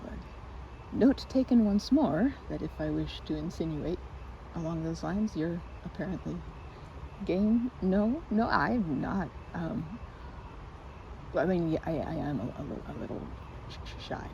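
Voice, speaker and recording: A woman's voice: fairly low pitch, animated, unhurried, creaky. A woman playing a timid, sweet, awkward young woman in an ASMR roleplay, stammering and hesitant, with a giggly, flustered manner. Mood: shy, teasing. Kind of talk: ASMR roleplay, improvised-sounding one-sided conversation. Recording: muffled with loud outdoor ambience noise.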